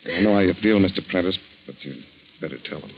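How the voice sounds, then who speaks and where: Steady young boyfriend in a 40s radio play, urgent, kinda rough voice, muffled recording. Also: male voice, sits low, fast talker. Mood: reassuring. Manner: urgent, reassuring, dramatic. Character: earnest young suitor, protective and steady. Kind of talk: 1940s radio acting. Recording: dull old 1940s radio recording.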